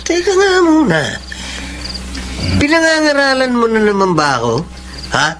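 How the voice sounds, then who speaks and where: Animated, irritable selfish boyfriend. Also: male voice, very high voice, normal speed, slight background noise, full character voice. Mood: mocking, irritated. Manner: dismissive, irritable, taunting. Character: selfish, defiant live-in boyfriend with vices, short-tempered. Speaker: radio drama actor. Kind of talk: Filipino radio drama acting.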